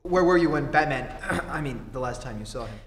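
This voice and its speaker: A man playing a steady, authoritative reporter hero in old-time radio drama style, brisk and reassuring. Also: medium pitch, close to an everyday voice. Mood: investigating. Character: steady, take-charge adult male reporter, secret hero. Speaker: amateur theatre actor. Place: theatre stage, live performance. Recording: echoey hall, faint noise.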